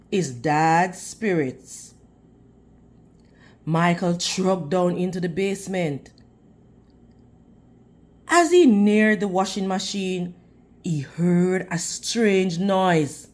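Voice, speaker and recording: A woman's voice: fairly low pitch, animated, extremely slow, pauses often, a little mellow. A woman reading a storybook aloud to young children in a teacherly manner, prompting her listeners with questions.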